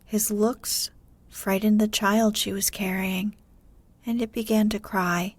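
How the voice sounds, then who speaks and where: A woman narrating a bedtime story in a soothing, measured way. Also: medium pitch, flat delivery, dry recording. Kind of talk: slow scripted storytelling for sleep.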